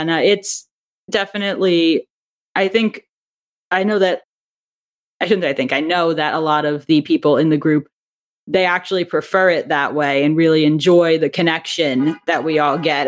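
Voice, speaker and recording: Chatty woman being interviewed. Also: sits low. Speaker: podcast guest, audio drama writer and director. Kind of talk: conversational interview.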